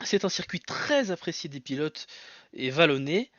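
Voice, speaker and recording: A man's voice: on the high side, very animated, moderate pace, fairly bright tone, dry recording, highs cut off. A man hosting his own podcast alone, with a Portuguese mother tongue, talking in a hesitant way full of fillers and restarts. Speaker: podcast host. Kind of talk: solo podcast talk.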